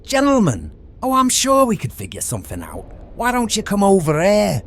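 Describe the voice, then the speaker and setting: A man's voice: high voice, wildly swooping pitch, normal speed, a bit bright, a little hiss or hum, exaggerated voice. A man playing a leering street thug who talks with mocking menace. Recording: music behind the voice.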